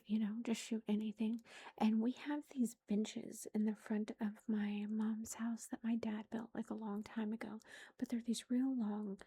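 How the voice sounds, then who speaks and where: A woman's voice: a little high, mellow, husky. Whispering woman telling a home story. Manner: whispering throughout, chatty with fillers. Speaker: vlogger. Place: indoors at home. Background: lawnmower faintly outside.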